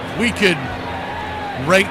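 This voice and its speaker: A man with a high voice calls baseball live in a folksy radio style. He slips between conversational asides and excited calls. Loud ambient noise runs steadily behind him. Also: takes it slowly, over a noisy crowd. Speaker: baseball play-by-play announcer and stream host. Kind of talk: live radio-style sports commentary with casual chat.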